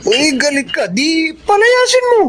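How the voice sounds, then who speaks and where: A man's voice: very high-pitched, very animated, unhurried, exaggerated voice. A Filipino man playing a casual, slangy young male friend in a radio drama. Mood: goading. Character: young male buddy egging on his angry friend.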